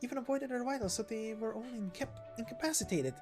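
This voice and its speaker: A woman's voice: on the high side, fast. A woman doing a scripted character reading of a game story, stumbling and restarting over some lines. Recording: music behind the voice. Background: music.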